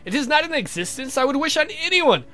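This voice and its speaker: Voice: high-pitched, big pitch swings, fast, pushed and bright, voice pushed up, exaggerated voice. Woman reading as a wry, courteous vampire count. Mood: earnest, guarded.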